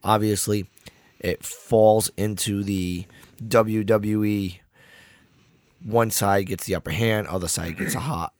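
A man with a raspy voice co-hosting a podcast, chatting casually with a laid-back, dry way of talking. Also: lowish voice, fairly even intonation, slow pace, dry recording. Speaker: podcast co-host. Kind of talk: casual chat.

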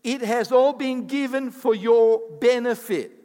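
A pastor delivering a Sunday sermon in a high voice, in English, which is his second language. He builds to emphatic rising bursts and drops into quick asides. The reverb trails off long, as in a church hall. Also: fairly even intonation, dark tone. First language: not English.

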